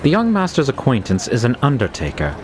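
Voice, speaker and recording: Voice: mid-range voice, huge pitch swings, fairly quick, noisy background. An actor playing an unflappable male butler in a manga dub, formal, courteous and composed. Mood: matter-of-fact. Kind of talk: scripted anime-style manga dub acting.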